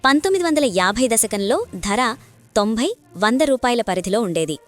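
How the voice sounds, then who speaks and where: A woman's voice: a little high, animated, a little fast, soft background music. A woman narrating a scripted news report.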